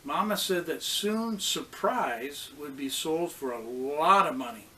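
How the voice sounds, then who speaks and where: A man's voice: fairly high pitch, animated, slow pace, pushed and bright. A man reading a storybook aloud to children as a pastor, expressively acting out the characters' lines.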